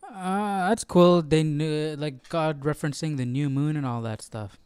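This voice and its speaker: A man's voice: on the high side, measured pace, darkish tone, smooth, no echo. A man hosting a Bible study livestream, chatting casually and thinking aloud in a curious, musing way.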